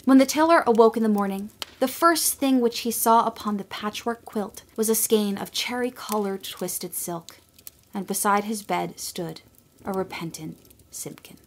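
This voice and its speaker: A woman giving scripted storybook narration for a radio play, gentle and expressive, sometimes dropping to a hush. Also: medium pitch, moderate pace, slight background noise.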